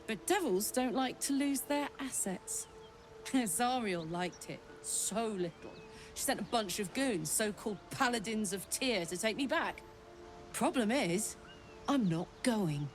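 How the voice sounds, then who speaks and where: A battle-hardened, brash warrior woman from a fantasy game, acted by a woman. She talks bluntly and boastfully at a middling pitch, with music playing behind her. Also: lively intonation, a little slow, natural voice. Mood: defiant. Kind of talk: video game character dialogue.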